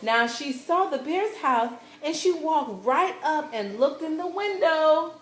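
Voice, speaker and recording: A high-pitched woman reads a children's fairy tale at a slow, deliberate pace. She is narrating a puppet show in a roomy, echoing space. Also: expressive. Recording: echoey room, faint background noise.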